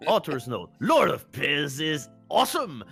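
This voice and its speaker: A man co-hosting a fan fiction reading stream with casual, excitable, mocking banter and some swearing. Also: a little high, measured pace, gravelly, husky. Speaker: co-reader and commentator on stream. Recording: music behind the voice. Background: music.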